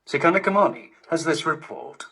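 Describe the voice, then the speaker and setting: A man's voice: on the high side, very animated, a little fast, vocal fry, bright, forceful tone. A man reading formal scripted radio news bulletins, received over digital shortwave radio. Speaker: news presenter.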